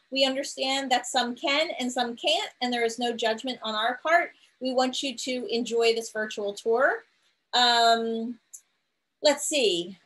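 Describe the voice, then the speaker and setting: A woman's voice: fairly high pitch, a bit bright. A middle-aged woman introducing a virtual tour over a video call, chatty with occasional fillers. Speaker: host, tour organizer. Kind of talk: webinar introduction. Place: home room.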